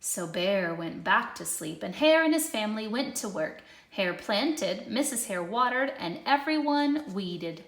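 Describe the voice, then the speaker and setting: A woman narrating a children's storybook in an expressive read-aloud style. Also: mid-range voice, unhurried, hardly any pauses. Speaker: storybook reader. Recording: echoey room.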